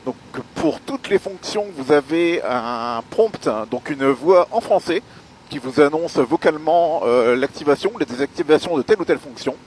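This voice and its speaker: A man giving a spoken gear review as a motovlogger, explaining things in a measured, hesitant way with pauses. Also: fairly high pitch, animated, darkish tone, slight background noise. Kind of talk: spoken product review while riding.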